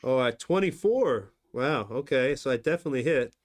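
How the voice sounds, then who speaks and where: A man's voice: medium pitch, lively intonation, moderate pace. A man acting as game master, narrating combat and ruling on dice rolls in loose, chatty talk full of "you know". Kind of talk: running a tabletop game, casual narration.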